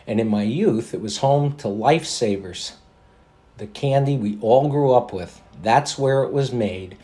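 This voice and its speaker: An older American man reading for a voice-over demo in a measured, articulate way. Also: mid-range voice, mellow. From New York State, United States. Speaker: voice actor.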